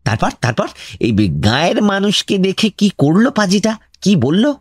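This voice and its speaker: Pushy, animated Bengali investigator. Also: male voice, high-pitched, moderate pace, slightly rough, no room sound, studio quality, exaggerated voice. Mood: eager. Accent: West Bengal Bengali. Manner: insistent, coaxing, self-important questioning. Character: pushy, impatient male investigator grilling a villager. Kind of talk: comic radio drama acting. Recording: music behind the voice.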